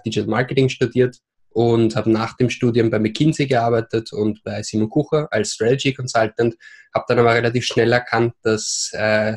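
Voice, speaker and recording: A man's voice: medium pitch, a little mellow. A man in his twenties from Vienna with an Austrian accent, a podcast guest who talks with many hesitations and mixes in English terms. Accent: Viennese Austrian German. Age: 20s. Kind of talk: podcast interview.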